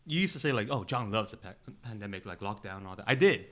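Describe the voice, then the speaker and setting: A man's voice: on the low side, lively intonation, quick pace, sharp tone. A man co-hosting a podcast in casual, slangy, profane talk, argumentative and constantly peppered with "like" and "you know.". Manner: repeats 'like' and 'you know' constantly. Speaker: podcast co-host. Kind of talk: casual group chat.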